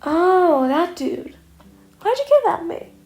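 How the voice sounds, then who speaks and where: A woman's voice: extremely high pitch, slow, faint music behind, pitched above the performer's own voice, full character voice. A woman voicing a sarcastic, nonchalant teenage boy student who is blunt, weary and flippant. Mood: exasperated. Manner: flippant, deadpan excuses. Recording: echoey room, audible noise.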